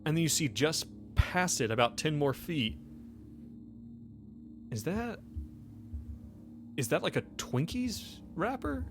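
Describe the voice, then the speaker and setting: An American man narrating a tabletop game as game master, improvising in a halting, conversational way. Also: a little high, sing-song. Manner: halting, conversational, peppered with "like" and "you know". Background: faint music.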